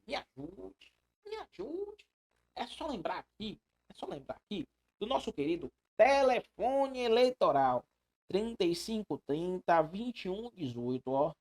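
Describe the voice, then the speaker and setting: A male teacher with a high-pitched voice that swings widely up and down. He lectures live in an emphatic, animated way, repeating key phrases and checking in with students through rhetorical questions. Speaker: law exam prep teacher.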